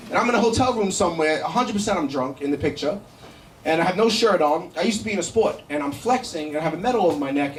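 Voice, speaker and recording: High-pitched New York comic, echoey and distant. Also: male voice, slight background noise. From Brooklyn, New York, United States. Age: middle-aged. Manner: brash, profane, conversational. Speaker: stand-up comedian. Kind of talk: live stand-up comedy with crowd banter. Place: indoor comedy show in a cafe. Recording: echoey live room, miked from a distance.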